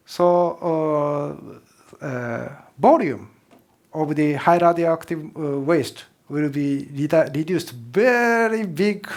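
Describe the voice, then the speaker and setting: A man with a Japanese accent, a professor answering seminar questions in halting English and repeating words for emphasis. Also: a little high. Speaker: professor, expert speaker.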